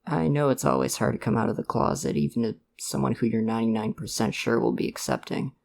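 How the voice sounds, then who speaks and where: A man playing a supportive, gently protective dad in an ASMR roleplay, speaking in a hesitant, earnest, reassuring way to the listener. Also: mid-range voice, fairly even intonation, fast, gravelly, a little hiss or hum. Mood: earnest, apologetic. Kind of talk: ASMR roleplay, one-sided conversation with listener.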